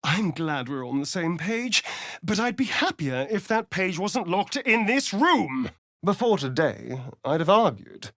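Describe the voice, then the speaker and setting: An actor playing a suave, sardonic vampire rogue in a video game, speaking in an arch, drawling, theatrical manner. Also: male voice, high voice, big pitch swings, unhurried, no echo, studio quality, full character voice. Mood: wry. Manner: theatrical, arch, drawling, with dramatic swells and hushes. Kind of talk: video game character voice lines.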